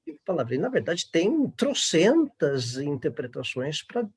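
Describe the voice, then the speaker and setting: A man's voice: on the high side, sing-song, measured pace, slightly rough. A middle-aged Brazilian man speaking informally in a panel discussion, in a discursive way that often seeks agreement.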